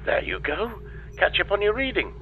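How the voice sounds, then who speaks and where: A man's voice: sits high, wildly swooping pitch, moderate pace, narrow, tinny audio, slight background noise, heavily acted. A man playing an evasive, breezily unhelpful space-agency dispatcher in a comedy audio drama, talking over a radio link. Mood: breezily upbeat. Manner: breezy, evasive, hesitant when cornered.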